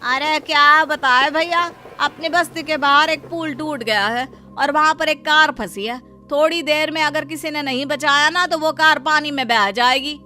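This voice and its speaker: Woman doing an anxious, high-voiced village man. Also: moderate pace, hardly any pauses, pushed and bright, faint music behind. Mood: worried. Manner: urgent, worried. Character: anxious, cautious village man, fearful neighbour. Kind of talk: cartoon moral story acting.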